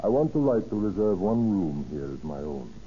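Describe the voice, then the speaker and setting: Brooding low-voiced widower, old radio. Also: male voice, big pitch swings, normal speed, warm tone. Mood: courteous, businesslike. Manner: courteous, melancholy, hesitant. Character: brooding, polite wealthy widower haunted by loss. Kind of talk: 1930s radio acting. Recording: muffled, noisy old radio recording.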